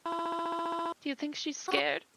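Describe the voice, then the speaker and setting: A woman voicing a grieving middle-aged mother who talks in a soft, wavering, heartbroken way. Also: very high voice, slow pace, very noisy, voice pushed up. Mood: anxious. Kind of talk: audio drama acting.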